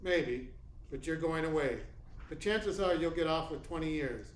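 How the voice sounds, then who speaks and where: An actor playing a tough, sardonic private detective in a 1940s radio style, with clipped, commanding delivery. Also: male voice, a little high, moderate pace, close to an everyday voice. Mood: grimly resolved. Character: hardboiled, cynical male private detective, tough and unsentimental. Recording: roomy echo with noticeable background noise.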